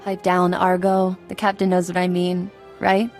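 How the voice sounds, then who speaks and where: A woman's voice: lowish voice, completely flat delivery, moderate pace, darkish tone, faint music behind, lightly acted. A woman playing a tough, lonely outlaw in a video game, plain-spoken and guarded. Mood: brisk. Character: tough, lonely female outlaw with a soft spot.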